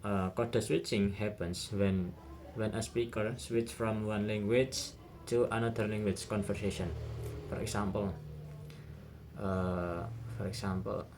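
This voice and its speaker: A young man with an Indonesian accent reviews a linguistics topic, pausing often with "uh" and "nah" fillers. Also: on the low side, fairly even intonation, background noise. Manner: hesitant, many "uh" and "nah" fillers.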